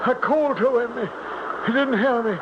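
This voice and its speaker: An actor playing an anxious, fretful villager who pleads, in a 1970s radio drama. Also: male voice, very high-pitched, a little fast. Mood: distressed. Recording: muffled noisy 1970s radio recording.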